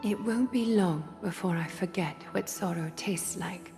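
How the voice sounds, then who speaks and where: Composed, creaky low-voiced game heroine. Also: female voice, a little slow. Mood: quiet sorrow. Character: composed, protective young woman, quietly melancholic and menacing. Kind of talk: video game voice acting. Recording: long reverb, faint music behind.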